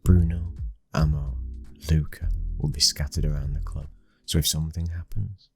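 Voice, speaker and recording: A man with a British accent playing a possessive young mafia boss's son, speaking in a low, intimate, commanding murmur for a boyfriend ASMR roleplay. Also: steady tone, moderate pace, husky, dry recording, faint music behind, heavily acted. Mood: stern, protective.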